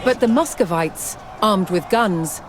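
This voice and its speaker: A woman giving scripted, documentary-style narration for a historical strategy game, measured and formal in her storytelling. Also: medium pitch, lively intonation, crowd noise. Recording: music behind the voice. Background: music.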